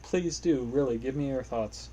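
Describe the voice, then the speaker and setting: A man's voice: mid-range voice, normal speed, mellow, a little hiss or hum, poor audio. Rambling young man hosting a solo podcast. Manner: rambling, self-deprecating, profane. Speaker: podcast host. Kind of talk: casual solo podcast monologue. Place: home room, playing a video game.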